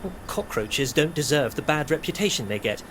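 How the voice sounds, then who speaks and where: An actor playing a meek, nerdy insect expert in an audio drama, hesitant and earnestly pedantic. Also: male voice, a little high, expressive, brisk, fairly bright tone, slight background noise. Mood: timid, earnest. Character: meek, nerdy insect man, fond of bugs.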